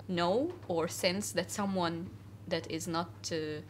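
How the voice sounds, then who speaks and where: Young woman from syria chatting in a cafe, lowish voice, lots of uh and like, faint room hum. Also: steady tone, slow. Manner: hesitant, many fillers, earnest. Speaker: interview guest. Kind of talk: casual conversational interview. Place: cafe, indoors.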